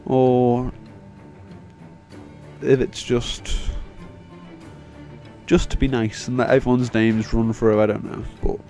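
A young man gives casual, self-deprecating commentary on a game, wandering from thought to thought. His voice is mellow and fried at the edges, tired from hours of talking. Music plays faintly behind him. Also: medium pitch, little pitch movement, slow, long pauses. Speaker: video game let's player. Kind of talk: casual rambling gameplay commentary. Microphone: microphone, voice-over on game video. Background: game end-credits music.

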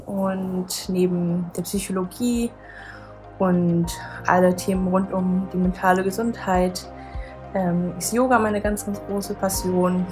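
A woman in her mid-twenties introduces herself to camera. Her voice sits a little low, with music clearly playing behind it. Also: fairly even intonation, takes it slowly, a little mellow. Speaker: student and yoga teacher. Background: background music.

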